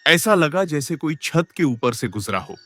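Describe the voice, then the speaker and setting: Male actor as anxious farmer neighbour, highish voice with lively swings, earnest story acting over music. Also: normal speed. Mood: uneasy. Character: worried, earnest village farmer, a concerned neighbour. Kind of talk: dramatized moral story acting.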